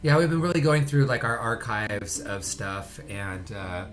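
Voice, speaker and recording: A man's voice: fairly low pitch, expressive, measured pace, fairly bright tone, soft background music. A man co-hosting a casual livestream, talking in a laid-back, rambling way. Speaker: co-host of a livestream show. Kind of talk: casual chat.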